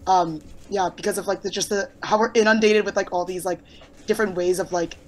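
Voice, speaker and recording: Young woman host in her twenties; fast, loose, filler-heavy chat with casual swearing. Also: mid-range voice, fairly even intonation, poor audio. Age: 20s. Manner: rapid and rambling, many fillers and casual swearing. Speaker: podcast host. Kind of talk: casual conversation.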